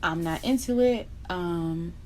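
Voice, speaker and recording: A woman's voice: fairly low pitch, takes it slowly, darkish tone, slight background noise. A young woman from Barbados chatting bluntly and casually while doing her makeup for a vlog, dropping in slang asides. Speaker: beauty vlogger.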